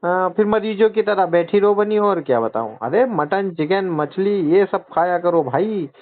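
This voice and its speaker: Man scolding on a phone call, slightly high voice, tinny phone-line sound. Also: animated, unhurried. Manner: scolding, lecturing.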